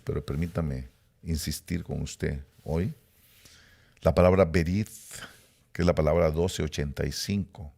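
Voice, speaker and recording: A middle-aged man from Rica, teaching a sermon with emphatic rises and quiet low asides. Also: gravelly. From Costa Rica. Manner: emphatic preaching, rising then dropping to low asides. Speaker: preacher.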